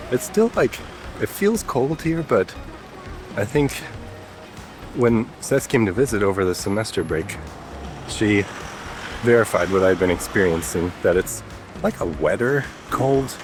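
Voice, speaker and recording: A young man with a nasal, stuffed-up voice, talking in a relaxed, chatty way as he walks. His voice sits a little low. Clear background music plays under the city-street recording. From Chicago area, USA. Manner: relaxed, chatty, talks while walking. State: congested from a cold. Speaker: vlogger. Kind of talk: casual vlog chat.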